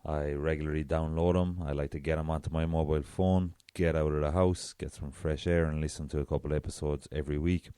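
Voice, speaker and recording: An Irish man with a very deep voice hosting a solo podcast, chatty and self-deprecating. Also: steady tone, fairly quick, few pauses, dark tone, clear, resonant tone, no room sound, clean studio sound. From south of Ireland. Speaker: podcast host.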